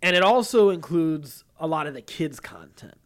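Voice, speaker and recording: Man, fairly high voice, podcast host, news and casual chat, talkative, explanatory. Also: expressive, normal speed, fairly bright tone.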